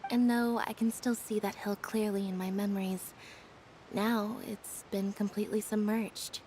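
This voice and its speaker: A woman voicing a quiet, melancholy young woman with a flat, soft delivery that drops to a whisper at times. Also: medium pitch, unhurried, slight background noise, natural voice. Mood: wistful.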